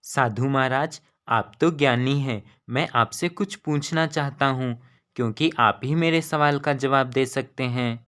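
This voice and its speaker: An earnest young boy from a moral cartoon tale, acted by a man. He speaks slowly in a medium pitch, with an eager, pleading manner and a kind heart. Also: fairly bright tone, clear, resonant tone, natural voice.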